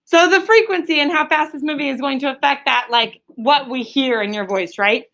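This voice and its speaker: A woman's voice: sits high, moderate pace, fairly bright tone. A woman teaching an elementary class, quizzing pupils in a firm, directive manner. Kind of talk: classroom lesson with question and answer.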